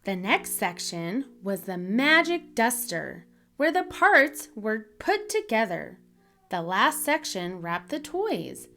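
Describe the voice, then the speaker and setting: A woman reading a children's story aloud in an expressive, deliberate storytelling manner for young listeners. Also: fairly high pitch. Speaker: children's book reader, channel host.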